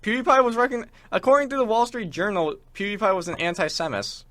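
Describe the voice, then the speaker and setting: A man's voice: high-pitched, fast, fairly bright tone, no room sound. A man hosting a show with casual, rambling chat about games and internet culture, acting out imagined quotes as he goes. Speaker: show host. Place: small radio studio.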